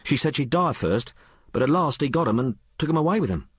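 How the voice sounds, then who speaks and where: A man voicing a rough, frank working-class young woman in an audiobook, blurting out her tale in colloquial, ungrammatical speech. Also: medium pitch, lively intonation, fairly quick, no echo, clean studio sound, pitched above the performer's own voice. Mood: frank, gossipy. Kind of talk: audiobook character dialogue.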